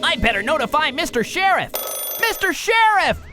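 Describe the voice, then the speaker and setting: A woman's voice: high voice, very animated, moderate pace, pushed and bright, slight background noise, exaggerated voice. A woman voicing an eager, naive little vehicle helper in a children's cartoon, childlike and exclamatory. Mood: excited.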